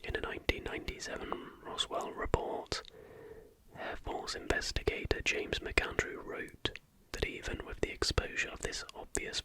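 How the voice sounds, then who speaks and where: An ASMR host narrating from a script in a soft, unhurried whisper. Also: poor audio.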